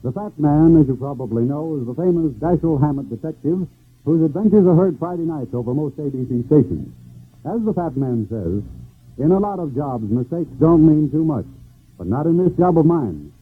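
A man with a very husky, fairly high voice reads a 1940s radio promo in a polished, emphatic announcer style. His pitch swings widely, and the old broadcast sounds thin and phone-like. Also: lively intonation, normal speed.

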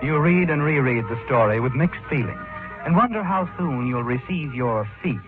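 An actor playing a knowing, ominous narrator of a suspense tale, deliberate and dramatic in 1940s radio style. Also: male voice, medium pitch, lively intonation, lightly acted. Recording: tinny old radio recording, music behind.

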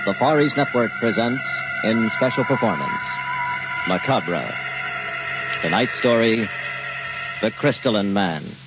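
A man's voice: lowish voice, lively intonation, measured pace, long pauses. A man playing a dramatic network announcer, formal and declamatory, in old-time radio style. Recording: muffled old radio, very loud noise.

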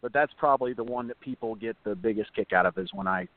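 A slightly hoarse young man, an actor, chatting casually in an interview, rambling with many fillers and restarts. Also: mid-range voice, big pitch swings, fast. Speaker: actor and voice actor guest. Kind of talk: casual interview over the phone. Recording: tinny phone line, dry.

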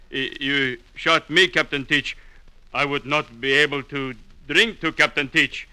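An actor playing a cowed, pleading sailor in old-time radio drama, halting and submissive. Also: male voice, fairly high pitch, fairly even intonation, pushed and bright, clean tone, full character voice. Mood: aggrieved. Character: cowed, pleading male sailor forced to toast.

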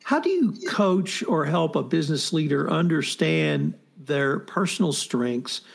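Gravelly male podcast host. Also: on the high side, expressive, a little slow. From United States. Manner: conversational, rambling questions. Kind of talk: interview questions.

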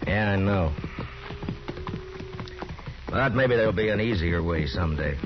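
An actor playing a wary, steady, laconic frontier lawman in a 1950s radio western. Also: low voice, unhurried. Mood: easygoing. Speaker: lead actor and first-person narrator. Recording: muffled 1950s radio recording with music.

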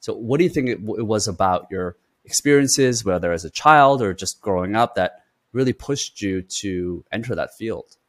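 Very deep-voiced young male host. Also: animated, normal speed. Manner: rambling questions with fillers and restarts. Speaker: podcast host. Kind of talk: podcast interview.

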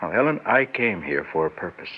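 An insistent, self-centered suitor, old muffled radio. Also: male voice, low-pitched, sing-song, brisk. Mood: insistent, ardent. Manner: insistent, earnest, declarative. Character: self-centered, ardent man wooing his old flame. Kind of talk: classic radio drama acting. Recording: muffled, lightly noisy old radio recording.

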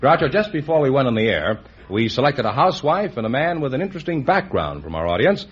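A man's voice: mid-range voice, big pitch swings, fast talker, breathy edge. An American man announcing a 1950s radio show in a formal, showmanlike style. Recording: muffled old radio recording. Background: faint music.

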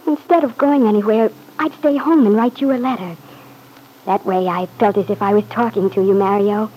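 An actress playing a devoted, anxious young wife in an old-time radio drama, pleading earnestly. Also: female voice, mid-range voice, fairly even intonation, moderate pace, warm tone, a little hiss or hum, close to an everyday voice, poor audio. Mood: tender, troubled. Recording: husky-sounding vintage radio recording.

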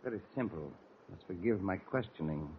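A secretive, condescending intelligence agent with a fairly low voice and clipped, formal speech. It is a 1940s radio drama, muffled, with light noise. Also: male voice, expressive, normal speed. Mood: smooth, guarded. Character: haughty, secretive self-styled British intelligence agent.

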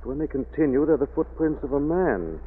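An actor playing a suave, calm young gentleman sleuth in an old radio drama, urbane and reassuring. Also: on the high side, brisk, breathy edge. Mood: intent, concerned. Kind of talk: 1940s radio drama acting. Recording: tinny noisy old radio recording.

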